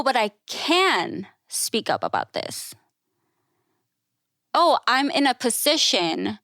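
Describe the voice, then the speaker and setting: A woman's voice: on the high side, fairly bright tone, dry recording. Woman from thailand chatting on a podcast, outspoken, takes her time, very dry sound. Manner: informal and outspoken, with frequent "like" and acted-out quotes. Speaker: podcast guest, classical musician and educator. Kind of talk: candid conversational storytelling.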